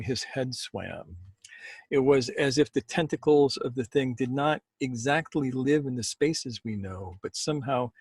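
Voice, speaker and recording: A man with a mid-pitched, husky voice works as a storyteller. He speaks deliberately and leaves room for pauses, and the sound is dry and close. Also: dry recording. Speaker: storyteller and co-host. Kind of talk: measured storytelling, then casual chat.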